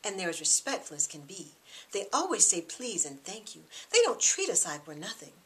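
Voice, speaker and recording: A woman's voice: on the low side, big pitch swings, normal speed, rough recording. Woman reading as an earnest, loyal young woman. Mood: defensive. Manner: earnest, defensive.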